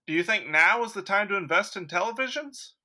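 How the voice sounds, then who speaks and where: A woman playing a chipper, brisk radio show host in a parody of 1940s announcing. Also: medium pitch, animated, pushed and bright. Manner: announcer-like, showy.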